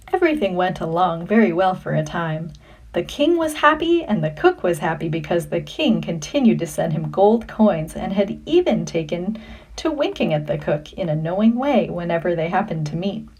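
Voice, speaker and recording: A woman reading a children's story like a teacher, with expressive, deliberate phrasing. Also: fairly low pitch, breathy edge, poor audio.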